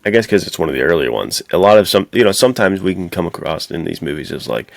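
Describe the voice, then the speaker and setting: A man's voice: sits low, big pitch swings, fast talker, creaky, dry recording. A man co-hosting a podcast in casual, slangy chat, giving his opinions. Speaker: podcast co-host.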